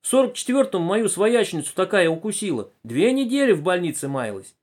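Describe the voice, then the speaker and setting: A man's voice: high voice, big pitch swings, a little fast, a little mellow, pitched above the performer's own voice. A man voicing an elderly village farm woman in a story, lamenting and grumbling. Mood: plaintive grumbling.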